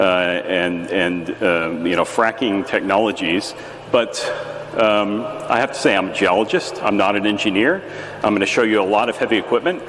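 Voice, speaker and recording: A man in his fifties with an American accent, giving a relaxed conference talk with a big booming voice, many fillers and restarts. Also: slight background noise. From United States. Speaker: conference presenter. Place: large echoey tent at a festival. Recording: reverberant venue with faint ambience.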